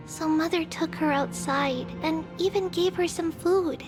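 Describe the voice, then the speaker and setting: A woman playing a thoughtful, composed young observer in a video game, telling things reflectively. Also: high-pitched. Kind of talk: game voice acting, reflective storytelling. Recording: music behind the voice.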